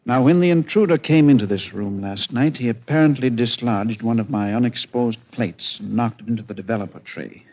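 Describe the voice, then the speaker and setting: A man playing a polite, earnest amateur photographer in 1940s radio mystery style, courteous and deliberate. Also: mid-range voice, natural voice. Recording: muffled 1940s radio recording, faint noise.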